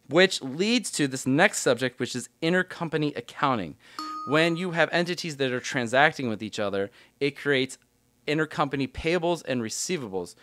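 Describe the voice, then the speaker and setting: A man's voice: medium pitch, a bit bright, a little hiss or hum. A man giving an explanatory tutorial as an instructor, talking informally through worked examples step by step. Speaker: accounting instructor.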